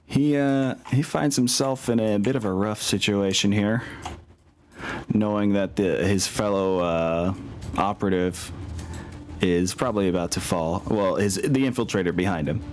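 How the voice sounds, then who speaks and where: A man casually narrating a tabletop game as game master, informal and sweary, thinking out loud. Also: fairly low pitch, normal speed. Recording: music playing behind the voice. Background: background music.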